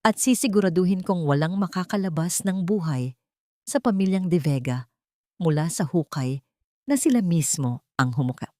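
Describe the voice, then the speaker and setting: Woman reading as icy filipino rich girl out for revenge, bossy, low voice, dry sound. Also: lively intonation, moderate pace, warm tone, no echo, natural voice. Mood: cold, vengeful. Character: cold, commanding young heiress plotting revenge.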